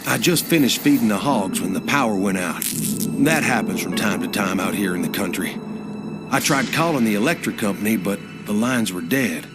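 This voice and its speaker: A man's voice: on the low side, lively intonation, normal speed, lightly acted. An actor playing a plainspoken rural American farmer who survived a zombie outbreak, narrating his haunted story for a video game in a folksy way. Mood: grim recollection. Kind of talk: scripted first-person game narration. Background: music.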